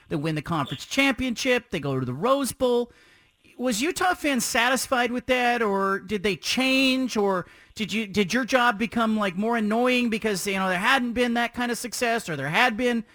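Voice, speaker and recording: A man hosting a sports talk radio show, chatty and energetic, asking long rambling questions. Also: very high-pitched, no echo.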